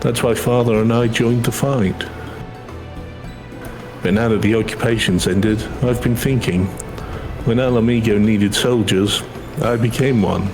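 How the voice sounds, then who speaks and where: A man's voice: lowish voice, fairly even intonation, unhurried. A man reading a video game character's dialogue aloud as a co-reader on a stream. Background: video game music.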